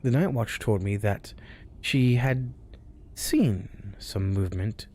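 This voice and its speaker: A man hosting a spooky story show, reading tales aloud in a hushed voice that often drops to a whisper. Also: fairly low pitch, slow pace, slight background noise. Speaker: storyteller host.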